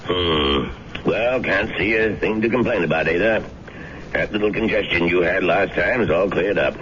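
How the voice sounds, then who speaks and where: An actor playing an easygoing, folksy family doctor in a radio drama. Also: male voice, sits low, moderate pace, lightly acted. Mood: friendly. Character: genial small-town male family doctor. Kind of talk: 1970s radio drama acting. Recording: dull old radio broadcast with hiss.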